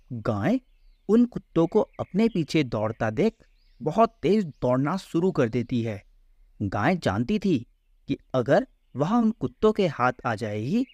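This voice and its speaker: Man reading a kids bedtime story, slow, lots of pitch movement, dry close voiceover. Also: a little mellow, no room sound, dull audio. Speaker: narrator. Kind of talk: scripted children's story narration.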